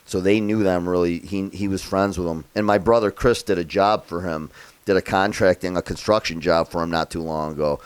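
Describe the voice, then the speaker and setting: A man from upstate New York giving a relaxed, chatty piano lesson, thinking out loud as he plays by ear. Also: low voice, brisk, smooth. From Rochester area, New York, USA. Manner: relaxed and chatty, thinks aloud while playing. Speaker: piano tutor. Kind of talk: casual play-by-ear piano tutorial. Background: loud piano playing.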